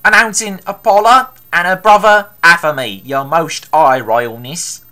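A woman's voice: lowish voice, unhurried, bright, forceful tone. A woman playing a pompous, loyal royal cook in a storybook, formal and declaiming.

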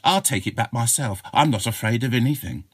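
Man playing a brazen, boastful girl, raised pitch. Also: animated, brisk, breathy edge, no echo, clean studio sound. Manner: bragging, brazen. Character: lazy, boastful, fearless village girl.

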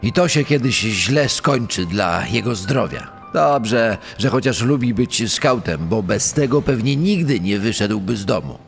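A man's voice: fairly low pitch, lively intonation, measured pace, vocal fry, soft background music. Wry, exasperated father played by a man. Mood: resigned, worried. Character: exasperated, sarcastic father joking about his lazy teenage son. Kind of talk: dramatized testimony in a true-crime podcast.

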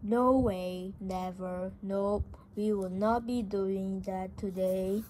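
An amateur reader voicing an anxious, grumpy adult male mouse from a comic, haltingly, in a noisy home recording. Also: mid-range voice, fairly even intonation, mellow, lightly acted. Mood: reluctant, flustered. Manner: flustered, refusing, halting.

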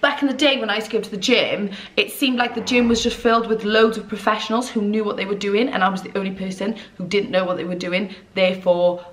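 A woman's voice: mid-range voice, quick pace, few pauses, a little hiss or hum. A young woman, chatting candidly to camera and gesturing as she talks from an armchair in a small dim room. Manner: chatty, candid, expressive hand gestures, swearing. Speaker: vlogger. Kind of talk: casual chat to camera.

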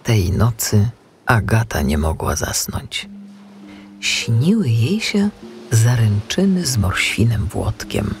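Male audiobook narrator for children's stories; measured, expressive, slightly creaky, varied intonation. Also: fairly low pitch, faint music behind. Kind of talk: scripted children's story narration.

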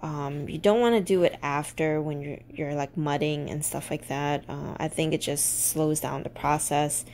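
A woman's voice: deep voice, fairly even intonation, moderate pace, few pauses, a little mellow, a little hiss or hum. A woman casually narrating a home renovation video, chatty and full of ums while giving practical tips.

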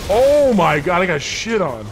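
A man's voice: high voice, sing-song, a little slow, music in the background. A man in his twenties chatting casually while gaming, slangy, cocky and playful, on a close desk microphone. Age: 20s. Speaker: gaming streamer. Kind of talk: casual gameplay chat. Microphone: close large desk microphone.

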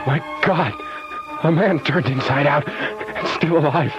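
A man's voice: on the high side, a little slow, dull audio, close to an everyday voice. A man acting an impatient, morbidly curious doctor in a radio horror drama, commanding and intense. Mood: horrified fascination. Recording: 1970s radio drama over loud music.

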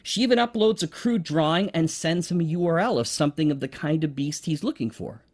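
A man giving an enthusiastic, emphatic promotional pitch in a conversational explainer style. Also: animated, a little fast, darkish tone. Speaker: presenter promoting an online marketplace.

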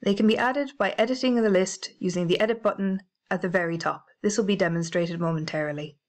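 A woman with a British accent narrating a library software tutorial, clear and methodical, spelling out codes letter by letter. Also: medium pitch, fast talker. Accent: British English. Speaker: library staff trainer. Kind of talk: instructional screencast narration.

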